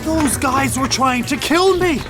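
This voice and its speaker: An actor playing an excitable, panicky male boat crewman, exclaiming urgently in an audio drama. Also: very high voice, a little slow, over music. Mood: shaken. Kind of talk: full-cast audio drama acting.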